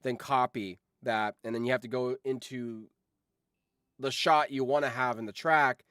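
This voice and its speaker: A man talks off the cuff on a livestream in a mid-pitched voice, recorded dry and close. He rambles from one thought into the next in an easygoing chat style. Swearing slips freely into his speech. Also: fairly even intonation, moderate pace, a bit bright, clean tone, no room sound. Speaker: streamer and video editor chatting with viewers.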